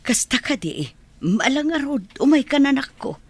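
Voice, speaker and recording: A woman's voice: sits high, big pitch swings, normal speed. A woman playing a caring older mother in an Ilocano radio drama, tender and solicitous, with an Ilocano Filipino accent. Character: caring older mother anxious for her grown son.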